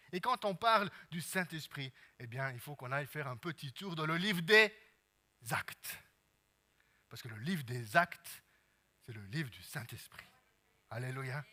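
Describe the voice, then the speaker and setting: A man with a Swiss French accent preaching a sermon as pastor, peppering his talk with amens and hallelujahs and swinging between hushed and loud passages. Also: medium pitch.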